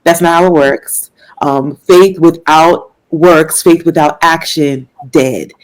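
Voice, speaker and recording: A woman's voice: deep voice, fairly even intonation, slow, low-quality recording. A young woman from Washington, D.C., a jazz singer answering interview questions in an earnest, faith-filled way, with fillers and laughs. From Washington, D.C., United States. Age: young adult.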